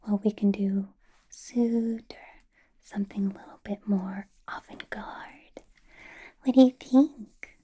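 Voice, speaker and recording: Woman for a possessive vampire boss, ASMR: slow, husky whisper, teasing control. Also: mid-range voice, steady tone. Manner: soft whispering, playful and controlling. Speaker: ASMR artist. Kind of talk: ASMR roleplay.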